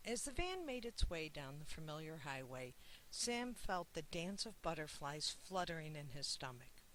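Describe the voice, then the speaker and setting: Author reading aloud, picture-book narration, measured delivery, expressive emphasis, medium pitch, faint hiss. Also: low-quality recording.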